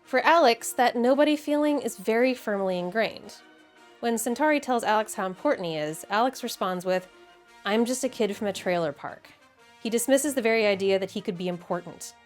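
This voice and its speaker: A woman's voice: fairly high pitch, expressive, fairly quick, soft background music. A woman with an American accent narrates a scripted video essay in a wry, conversational, emphatic way with casual asides. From Midwestern United States.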